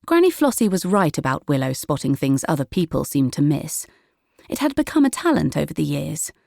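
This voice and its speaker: Woman storyteller, lowish voice, bit wry, kids book narration, really dry sound no room. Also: sing-song, fairly quick, vocal fry, a little mellow, no room sound. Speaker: audiobook narrator. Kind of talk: scripted children's story narration.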